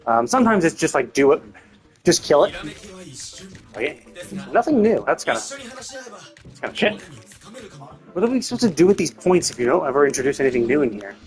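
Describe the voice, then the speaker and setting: Male game streamer, slightly high voice, casual and sweary, rambles through his thoughts, music underneath. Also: very animated, brisk, pauses often. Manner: rambling, thinking out loud, self-interrupting; casually profane. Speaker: livestreamer. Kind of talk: casual gameplay stream commentary.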